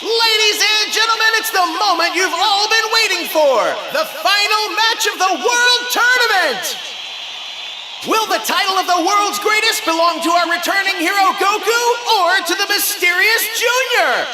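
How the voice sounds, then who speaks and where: An actor voicing an excitable, bombastic male tournament announcer shouting ringside fight commentary in an anime game. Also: very high voice, moderate pace, low-quality recording. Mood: hyping. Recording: loud music and crowd noise.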